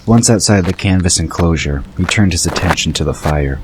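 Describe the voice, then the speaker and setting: A man's voice: deep voice, fairly quick, background noise. A man reading scripted narration for an audio drama.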